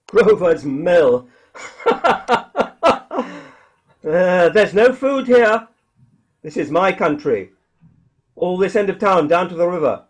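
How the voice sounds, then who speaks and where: An actor playing a jumpy, defiant militiaman surviving alone, with a folksy, halting, agitated delivery in a radio play. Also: high voice, expressive, heavily acted. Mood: wary, jumpy. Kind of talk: dramatic radio play dialogue.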